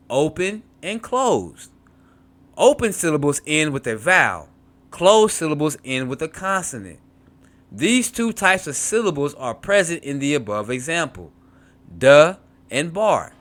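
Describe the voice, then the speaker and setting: A man's voice: mid-range voice, a bit bright. Man teaching a beginners' language lesson. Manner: repeats words to model pronunciation, frequent restarts and asides. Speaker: Hebrew teacher. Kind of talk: reading a textbook aloud and explaining.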